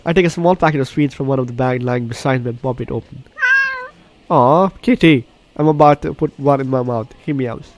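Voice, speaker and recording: A man's voice: mid-range voice, fairly quick, a little mellow, slight background noise. A man hosting a game playthrough, reading the story aloud with reactions, often stumbling and correcting his words. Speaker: gaming video host. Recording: game music behind the voice. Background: game music.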